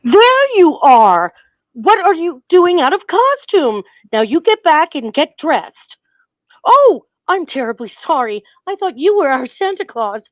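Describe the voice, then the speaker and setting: An actress playing a brisk, flustered woman in charge of a parade in a radio play. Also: high voice, sing-song, lo-fi sound. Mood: flustered, bossy. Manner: bossy, flustered, pleading. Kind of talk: 1940s-style radio play acting.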